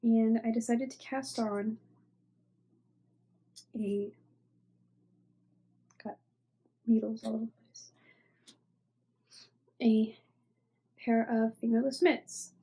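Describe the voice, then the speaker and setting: A woman's voice: fairly high pitch, fairly even intonation, slow, long pauses, warm tone, slightly rough, rough recording. A young woman hosting a knitting podcast, stuffy from a cold, rambling casually with many fillers and often trailing off into near-whispers. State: stuffy, congested. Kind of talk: casual show-and-tell chat.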